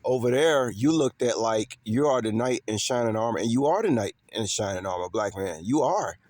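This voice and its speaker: A man's voice: medium pitch, lively intonation, moderate pace, a little mellow, no room sound. A man from talks off the cuff to his viewers, emphatic and repetitive, with street slang and swearing. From Chicago, United States. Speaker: vlogger. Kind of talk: casual unscripted monologue to viewers.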